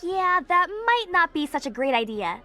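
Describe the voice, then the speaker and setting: A woman's voice: very high-pitched, big pitch swings, normal speed, bright, forceful tone, faint music behind, heavily acted. A woman voicing a sarcastic, sensible, brainy young girl in a cartoon game. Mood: wary. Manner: dry, sarcastic. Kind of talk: animated cartoon game acting.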